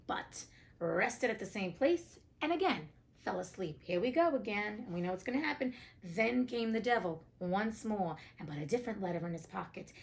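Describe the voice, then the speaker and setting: Sassy woman reading storybook tales. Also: mid-range voice. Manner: sassy, outspoken, colloquial commentary. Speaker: host reading classic tales aloud. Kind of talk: storybook reading with casual asides.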